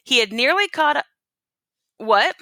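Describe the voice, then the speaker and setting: A woman teacher reading a storybook aloud to children and pausing to ask them questions. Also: high voice, lively intonation, bright, forceful tone, dry recording, studio quality.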